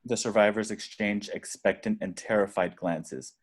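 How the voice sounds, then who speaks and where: Deep-voiced man narrating a screenplay. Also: moderate pace. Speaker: stage directions reader.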